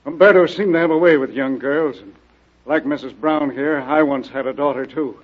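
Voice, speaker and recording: An actor playing a middle-aged theatre property man, a cornered murderer and bitter father, in a rough old radio drama manner. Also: on the high side, moderate pace, close to an everyday voice. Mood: resigned, bitter. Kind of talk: old radio mystery acting. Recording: muffled vintage radio drama recording.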